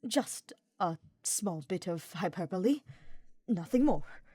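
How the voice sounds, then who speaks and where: A woman voicing a formal, sardonic male dark mage with a wry, grumbling manner in a story reading. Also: lowish voice, animated, takes it slowly, slightly rough, no echo, studio quality, full character voice. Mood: awkward.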